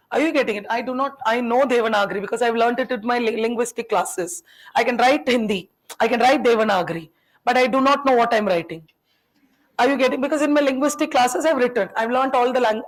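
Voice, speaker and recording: A woman in a classroom who grew up speaking Tamil, giving a lecture to her students. Her voice sits a little high, and she keeps asking questions. She talks in a chatty, teasing way. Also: rough recording. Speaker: teacher.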